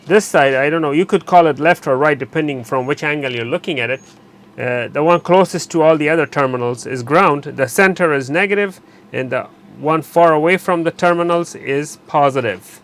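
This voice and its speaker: A man narrating a hands-on repair tutorial while he works, pausing and correcting himself as he goes. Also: a little high, fairly bright tone, a little hiss or hum. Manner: unscripted, pauses and self-corrects while working. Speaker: electronics repair instructor.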